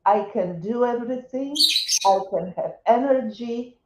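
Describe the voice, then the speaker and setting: Woman, 70s, Polish accent, slow, hesitant, dull roomy audio. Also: a little high, heavy background noise. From Poland. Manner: hesitant, many restarts and fillers. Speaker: interview guest, wellness coach. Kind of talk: casual interview conversation.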